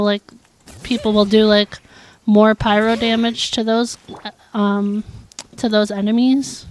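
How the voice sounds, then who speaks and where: A woman's voice: a little high, clean tone, low-quality recording. A woman livestreaming a video game, chatting casually and thinking aloud as she answers viewers. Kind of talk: casual chat while playing a video game.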